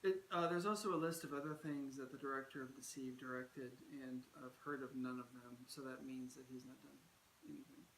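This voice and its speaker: A man's voice: mid-range voice, steady tone, fairly quick, a bit bright. A man co-hosting a casual movie review chat, talking in a rambling and teasing way. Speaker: co-host. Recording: echoey room with faint hiss.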